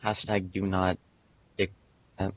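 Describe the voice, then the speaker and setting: A man's voice: low-pitched, little pitch movement, slow, no room sound. A young man in a casual gaming voice chat, joking and teasing, often laughing. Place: online voice call. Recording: lo-fi dry voice chat audio.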